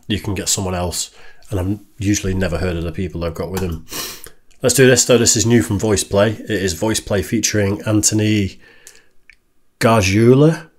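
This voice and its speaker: A man in his thirties hosting a reaction video, chatty and self-deprecating, recorded in a small indoor room with headphones on. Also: on the low side. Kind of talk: casual reaction commentary. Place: small indoor room lit with purple lights.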